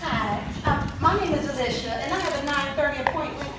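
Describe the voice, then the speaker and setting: A woman's voice: sits high, brisk, lightly acted. A woman playing an earnest, flustered wife in a stage play, hesitant and polite. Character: earnest, flustered wife seeking marriage counselling. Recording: very noisy, echoey, distant mic.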